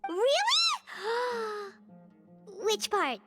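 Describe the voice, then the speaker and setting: Squeaky, very high-pitched little fairy girl. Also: very slow, loud music behind the voice, exaggerated voice. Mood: eager, musing. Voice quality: squeaky, childlike. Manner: inquisitive, expressive. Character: small cheerful floating fairy-like girl companion, curious and excitable. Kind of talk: video game voice acting.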